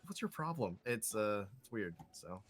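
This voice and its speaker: A man, a voice actor guest, telling stories in a laughing, expressive way during a casual chat. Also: lowish voice, normal speed.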